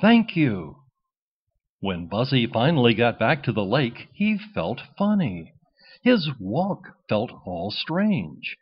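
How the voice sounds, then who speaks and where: A man reading a children's storybook as narrator in an expressive, scripted style. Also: medium pitch, slow, slightly rough, dull audio.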